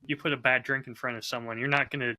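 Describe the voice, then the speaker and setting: Very bright-voiced man in podcast chat. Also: mid-range voice, little pitch movement, fast talker. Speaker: podcast host and audio drama creator. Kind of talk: casual reflective podcast conversation.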